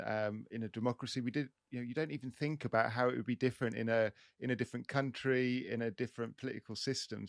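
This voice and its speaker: A man's voice: medium pitch, creaky, no echo, studio quality. A middle-aged man with a British accent hosts a podcast in a conversational interviewing style. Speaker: podcast host.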